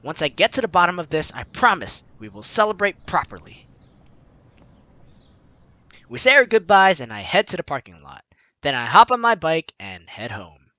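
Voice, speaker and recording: Male let's-play host, high and lively, playful running commentary. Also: moderate pace, long pauses, dry recording, dull audio. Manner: lively, laughs, breaks off to comment. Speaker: let's play host reading a visual novel. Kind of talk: playthrough reading with commentary.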